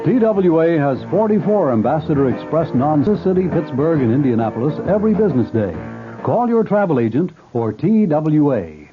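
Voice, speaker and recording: A man reading a 1970s radio commercial as a brisk, upbeat pitchman. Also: very animated, music in the background. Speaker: ad announcer. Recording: tinny lo-fi radio broadcast.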